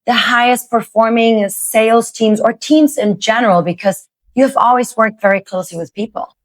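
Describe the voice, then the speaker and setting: A woman's voice: on the high side, fairly even intonation, moderate pace, no room sound. A woman from Germany, a native German speaker, chatting informally in English as an interview host. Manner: informal, often says "like". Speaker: podcast host, business coach. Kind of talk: conversational interview.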